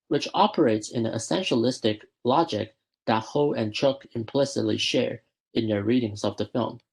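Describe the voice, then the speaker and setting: A young man presenting a prepared academic paper at a student research school, reading in a measured way and often correcting himself mid-word. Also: medium pitch, creaky, darkish tone, breathy edge. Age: young adult. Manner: measured, with frequent self-corrections.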